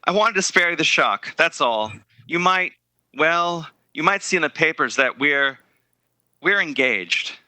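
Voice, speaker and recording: A man playing an earnest, guilt-ridden young man in a 1930s-style radio drama, speaking haltingly and hesitantly. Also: a little high, lively intonation, sharp tone, dry recording, heavily acted. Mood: uneasy, apologetic.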